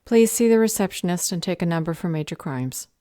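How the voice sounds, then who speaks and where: A woman playing a stern, bored council official in a fantasy audio drama, deadpan, clipped and sarcastic. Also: fairly low pitch, brisk, dry recording, studio quality, close to an everyday voice. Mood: sarcastic, curt.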